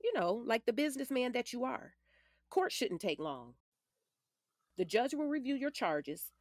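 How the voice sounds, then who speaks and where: A woman's voice: fairly high pitch, sing-song, measured pace, no echo, studio quality. A woman playing a confident, matter-of-fact lawyer in her late forties in an audio drama, firm, precise and commanding. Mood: instructive.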